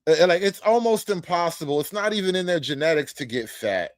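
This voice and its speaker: A man's voice: a little high, expressive, a little fast, background noise. A man giving casual reaction commentary on a video, blunt, profane and mocking.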